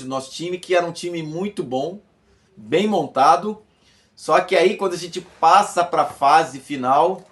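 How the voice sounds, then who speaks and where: A man in his fifties from Bahia, a former footballer calmly recounting his old club season, with many fillers and repeated words. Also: mid-range voice. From Brazil, Bahia. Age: 50s. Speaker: former footballer giving a testimony. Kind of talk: recorded personal testimony.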